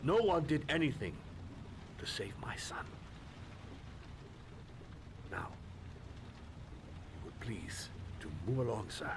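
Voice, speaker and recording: A man's voice: medium pitch, big pitch swings, slow pace, pauses often. An actor playing a dignified, stubborn, grieving male shopkeeper in a video game, speaking in formal, courteous, stilted phrases. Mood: bitter, curt. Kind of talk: dramatic video game acting. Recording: clear background noise and ambience.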